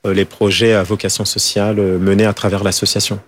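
Créateur de mode, voix assez grave, réponses d'interview hésitantes. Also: male voice, fairly low pitch, level tone, quick pace, slight background noise. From France. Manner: hesitant, many fillers and restarts. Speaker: fashion designer and school founder. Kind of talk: interview answers.

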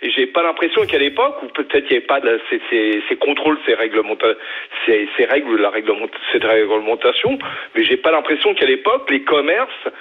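A man in his fifties from Brittany calling in to a radio show, talking haltingly and restarting his words. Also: medium pitch, very animated, breathy edge. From Brittany, western France. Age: 50s. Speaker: radio caller. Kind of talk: phone-in call. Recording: tinny phone line.

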